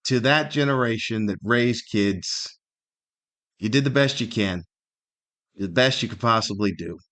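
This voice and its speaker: A man's voice: fairly low pitch, measured pace, fairly bright tone. A middle-aged American man hosting a solo podcast, talking in a casual, rambling, conversational way and sometimes acting out imagined remarks. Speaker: podcast host and comedian.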